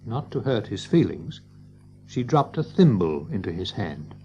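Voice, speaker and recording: A man's voice: fairly low pitch, big pitch swings, measured pace, mellow, highs cut off, slight background noise. A man narrating a scripted children's storybook as part of an audio drama.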